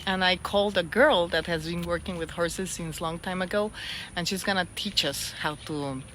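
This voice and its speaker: A woman's voice: lowish voice, normal speed, a bit bright, slight background noise. Chatty Mexican woman speaking English. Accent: Mexican Spanish. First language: Spanish. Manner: chatty, open, self-deprecating about her English. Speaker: TV host. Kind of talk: casual vlog chat.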